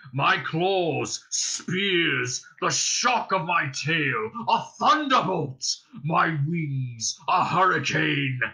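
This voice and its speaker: A man voicing a huge, wily old male dragon, slow, mocking and boastful, in a storybook reading. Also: fairly high pitch, pushed and bright, exaggerated voice.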